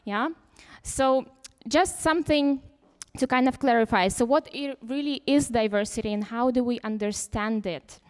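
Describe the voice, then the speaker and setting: A woman's voice: on the high side, moderate pace. A young woman from Ukraine giving a casual, unscripted conference talk, full of "you know" and fillers. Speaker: conference speaker. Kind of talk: informal talk without slides. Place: lecture hall. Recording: reverberant hall.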